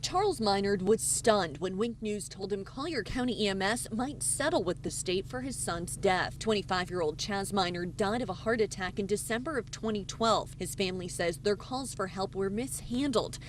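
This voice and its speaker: A woman's voice: medium pitch, animated, moderate pace, few pauses. Female reporter narrating, faint background noise. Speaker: TV news reporter. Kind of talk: scripted narration of news package.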